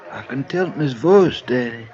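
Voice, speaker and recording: A man's voice: medium pitch, lively intonation, fairly quick, darkish tone, some chatter behind, close to an everyday voice. An actor playing a kindly, wary old man in a 1950s radio drama, folksy and cautioning. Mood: gently warning. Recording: dull old radio recording.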